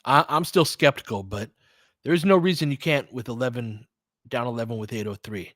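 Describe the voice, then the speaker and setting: A man's voice: mid-range voice, moderate pace, a bit bright, no room sound, clean studio sound. A man with an American accent giving casual live basketball commentary, thinking aloud and chatting conversationally with listeners. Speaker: host of fan play-by-play livestream.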